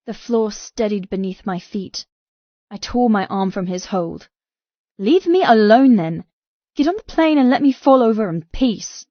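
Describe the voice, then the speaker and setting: A woman's voice: medium pitch, animated, unhurried, no room sound, dull audio, lightly acted. A woman performing an anguished but defiant young woman in emotive, dramatic first-person audiobook narration. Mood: agitated, defiant.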